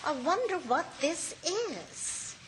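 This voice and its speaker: A woman's voice: very high-pitched, sing-song, slow, slight background noise, heavily acted, poor audio. A woman playing a teasing, affectionate middle-aged wife in an old-time radio comedy. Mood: pleased, affectionate.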